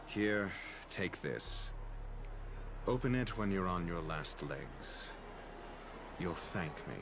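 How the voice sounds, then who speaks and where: A grave, aloof doctor in a game. The voice is very deep and gravelly, extremely slow and curt, over clear background noise. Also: male voice, animated, full character voice. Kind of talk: video game voice acting.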